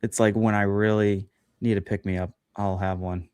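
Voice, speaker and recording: Low-voiced man chatting loosely. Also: little pitch movement, moderate pace, darkish tone, smooth, no room sound, clean studio sound. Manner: rambling, filler-heavy, self-deprecating. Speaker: guest, podcast host. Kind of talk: casual chat on a livestream.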